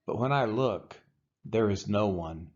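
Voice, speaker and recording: A man reading scripture aloud in a dramatic, solemn and emphatic way, with measured pauses. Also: fairly low pitch, animated, a little mellow, lo-fi sound. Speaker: Bible reader.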